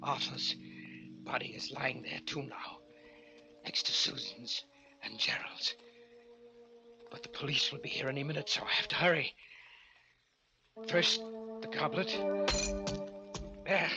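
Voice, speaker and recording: An actor playing a guilt-haunted, obsessive murderer confessing feverishly in an old radio horror drama, halting and repeating himself. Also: male voice, medium pitch, big pitch swings, creaky, over music, poor audio. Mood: hushed urgency. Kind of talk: 1940s radio horror acting.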